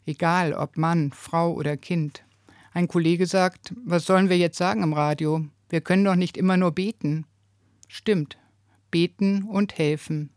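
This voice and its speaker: An earnest radio preacher reads a scripted devotional in a medium-pitched voice. The delivery is emphatic, built on short phrases and rhetorical questions. The sound is dry, with no room around it. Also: fairly even intonation, a little slow, darkish tone, dry recording.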